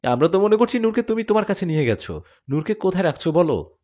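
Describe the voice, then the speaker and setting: A man's voice: high voice, sing-song, fast talker, darkish tone, pitched above the performer's own voice. A man voicing an anxious mother who accuses the jinn of taking her daughter, in a spoken story. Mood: distraught. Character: distraught mother of a missing young woman.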